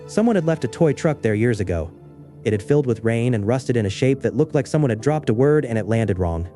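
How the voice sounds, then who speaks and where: A man narrating a scripted first-person horror story in a measured, understated way. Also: medium pitch, expressive, mellow, soft background music.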